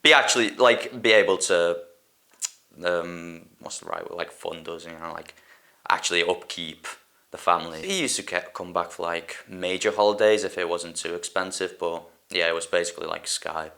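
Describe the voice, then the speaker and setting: A man's voice: deep voice, moderate pace, creaky, pushed and bright. A young man from Hungary whose first language is Hungarian, talking in a casual documentary interview, hesitant and searching for words with lots of likes and ums. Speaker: band drummer.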